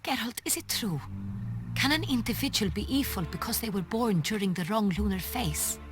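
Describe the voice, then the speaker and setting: A woman's voice: mid-range voice, animated, normal speed, close to an everyday voice. A woman playing a poised, regal ruler of a fantasy duchy in a game, speaking formally and eloquently. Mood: sorrowful, reflective. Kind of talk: cinematic fantasy game dialogue. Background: prominent background music.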